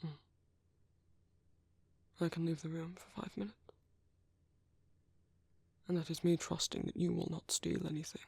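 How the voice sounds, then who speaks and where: A British man playing a gentle, courteous vampire priest in an ASMR roleplay, speaking softly and breathily with polite, hesitant reassurance. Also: on the high side, flat delivery, measured pace, pauses often, no echo, heavily acted. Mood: gentle, caring. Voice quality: soft, breathy, husky. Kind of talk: ASMR roleplay, soft intimate whispery speech.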